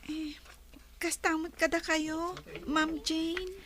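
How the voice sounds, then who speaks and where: A woman's voice: extremely high pitch, level tone, takes it slowly, fairly bright tone, exaggerated voice. An actress playing a meek, kind young orphaned woman in a radio drama, polite, hesitant and plaintive. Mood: subdued, sad.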